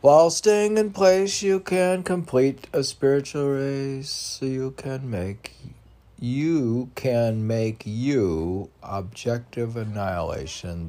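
Slow-paced, low-voiced man reciting poetry. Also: warm tone. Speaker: poet reading own work. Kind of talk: recited spiritual poetry reading.

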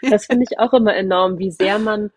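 A woman's voice: medium pitch, steady tone, fairly quick, a little mellow, no room sound. A woman podcast co-host with a cold, sounding nasal, chatting casually and laughing often. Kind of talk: casual conversation.

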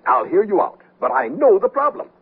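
A proper, flustered company chairman in an old radio comedy. His high voice swoops wildly as he stammers through formal, wordy phrases. It comes through a thin, noisy broadcast recording. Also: big pitch swings, fairly quick. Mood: exasperated, resigned. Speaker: radio actor. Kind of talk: 1970s radio comedy acting. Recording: noisy, narrow-band 1979 radio recording.

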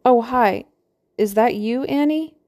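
A woman's voice: fairly high pitch, slow, darkish tone, no room sound, voice pushed up. A woman voicing a weary middle-aged man who jokes dryly on the phone. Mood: wry. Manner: dry, joking, evasive.

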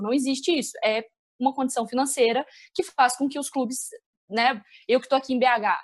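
Brief: woman, Minas Gerais accent, slightly high voice, animated and opinionated sports pundit on a video call. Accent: Minas Gerais Portuguese. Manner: animated, opinionated, thinks aloud with restarts. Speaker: sports journalist guest. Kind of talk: casual video-call discussion.

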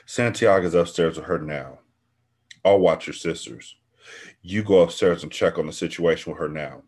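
A steady, protective bodyguard, played by a male reader. He talks quietly and firmly in a deep, husky voice. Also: normal speed. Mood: serious.

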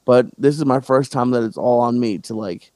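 Halting, stammering male podcast host. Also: mid-range voice, dark tone, no room sound. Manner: halting, many restarts and fillers. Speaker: podcast host and stand-up comic. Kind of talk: casual podcast chat.

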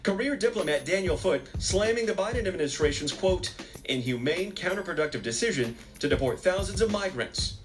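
An American man voices a scripted news report as a correspondent. His pitch runs fairly high for a man, and he speaks in emphatic, clipped phrases with music clearly behind him. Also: lively intonation, a little fast.

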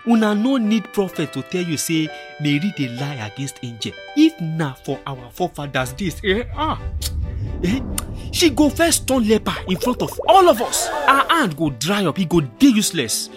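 A man's voice: sits high, very animated, moderate pace, over music. A man acting a scornful, stubborn older village man in a radio drama, with a Nigerian accent and an emphatic, scoffing delivery. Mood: indignant, judging. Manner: emphatic, scoffing, with repeated exclamations.

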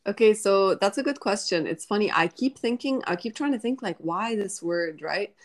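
A woman's voice: mid-range voice, animated, normal speed. A woman in her late twenties with an Egyptian accent, Arabic her first language, talking casually and thoughtfully in an interview, slipping Arabic words into her English. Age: 20s. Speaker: podcast host and writer.

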